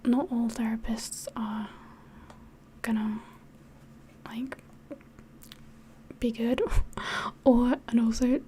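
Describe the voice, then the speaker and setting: A woman with a slightly mixed English accent whispers softly in a rambling, hesitant way, as if hosting an ASMR livestream chat. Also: fairly high pitch, little pitch movement, extremely slow, pauses often, slight background noise. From England. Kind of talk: soft-spoken ASMR chat, mostly whispered.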